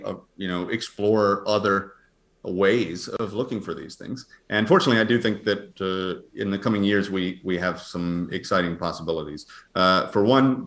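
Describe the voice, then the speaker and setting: A man speaking as an astronomer guest, explaining science conversationally in a halting, thinking-aloud way. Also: low voice. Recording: roomy, echoey sound.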